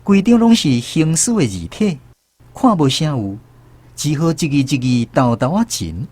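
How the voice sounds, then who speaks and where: Animated high-voiced Taiwanese male storyteller. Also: moderate pace, husky. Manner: expressive storyteller. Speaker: narrator. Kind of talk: first-person radio drama storytelling.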